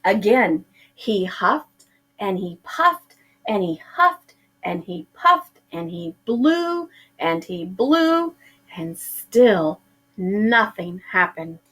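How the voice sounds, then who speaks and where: A woman's voice: on the high side, big pitch swings, very slow, fairly bright tone, breathy edge, low-quality recording. A middle-aged woman teacher reading a picture book aloud to her pupils, drawing out words for effect.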